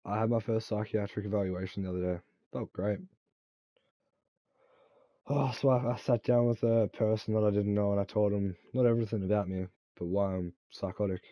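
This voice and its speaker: A man's voice: on the low side, fairly even intonation, brisk, no room sound, muffled recording. A man in his twenties, drunk, rambling crudely and swearing while he plays a game and chats. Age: 20s. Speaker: game streamer. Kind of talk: casual chat while gaming.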